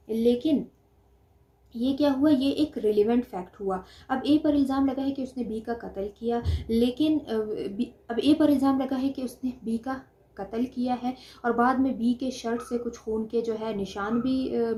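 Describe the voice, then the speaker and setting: A woman's voice: on the high side, normal speed, dark tone. A woman lecturing in an explanatory way, posing questions and answering them herself, repeating key phrases. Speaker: law lecturer. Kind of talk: explanatory lecture with simple examples.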